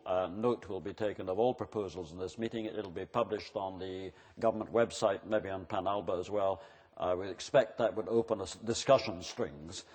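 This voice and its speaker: Husky-voiced man moderating a forum. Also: lowish voice, a little mellow. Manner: calls on people by name, asks prompting questions. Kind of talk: chairing a public forum. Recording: long hall reverb.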